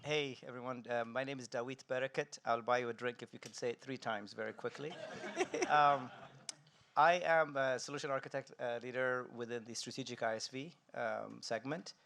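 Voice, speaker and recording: A man from Ethiopia introducing himself on a panel, relaxed and joking, with frequent ums. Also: mid-range voice, moderate pace, vocal fry. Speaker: solution architect on a panel.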